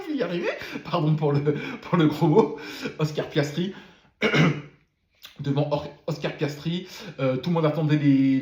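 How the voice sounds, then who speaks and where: A man's voice: on the high side, dark tone, reverberant, dull audio. A man who has a cold gives a casual spoken debrief of a motor race, full of fillers and repeated words. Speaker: F1 commentator.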